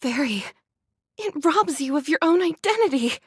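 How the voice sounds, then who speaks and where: An actress voicing a gentle, sorrowful young woman soldier in a video game, speaking tenderly and earnestly. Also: high-pitched, animated, normal speed, no echo, clean studio sound. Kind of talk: dramatic game voice acting.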